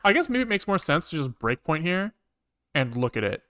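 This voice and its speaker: Higher-pitched man thinking aloud while coding. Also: big pitch swings, pushed and bright. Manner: halting, muttering to himself while working. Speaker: programming livestreamer. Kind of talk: casual live coding commentary, thinking aloud.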